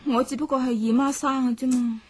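A woman playing a lonely, confined young writer in a radio drama, in a soft voice. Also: high-pitched, steady tone, fairly quick. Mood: subdued. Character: lonely confined young writer, rich man's neglected daughter. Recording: music under the voice.

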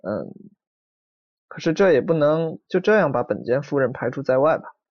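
An actor playing a courteous, businesslike assistant detective in a radio drama, earnest and deferential. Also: male voice, fairly high pitch, very animated, moderate pace, no echo, muffled recording. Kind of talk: broadcast drama acting.

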